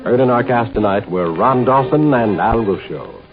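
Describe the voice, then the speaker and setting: Male announcer, lively swings in pitch, 1950s radio sign-off style, thin band-limited sound. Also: normal speed. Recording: tinny 1950s radio, loud music.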